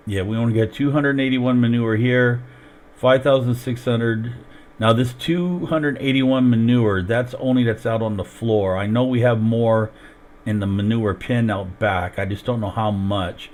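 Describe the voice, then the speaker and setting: A man's voice: mid-range voice, steady tone, unhurried, darkish tone. A man streaming a farming game while sick and losing his voice, explaining each step as he plays into a headset. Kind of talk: casual gameplay commentary.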